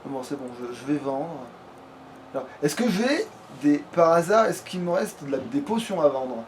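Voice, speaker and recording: Male gamer, high voice, crude slangy chat, noisy recording. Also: big pitch swings, moderate pace. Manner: crude, slangy, swearing freely. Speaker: let's play gamer. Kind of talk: casual gaming commentary. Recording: clearly noisy home recording.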